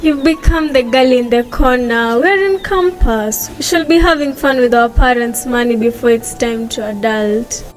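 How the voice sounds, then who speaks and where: A woman's voice: fairly high pitch, moderate pace, few pauses, lightly acted. A woman playing a confident character in a radio drama, with music behind her. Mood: breezy, teasing. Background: background music.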